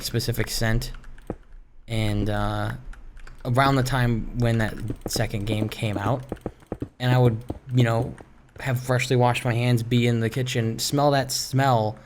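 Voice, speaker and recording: A gaming streamer chatting casually and hesitantly in a wry, rambling way over gameplay, recorded in a room at home. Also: medium pitch. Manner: rambling, hesitant, self-interrupting. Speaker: gaming video creator and streamer. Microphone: streaming microphone. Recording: home microphone with clear background noise.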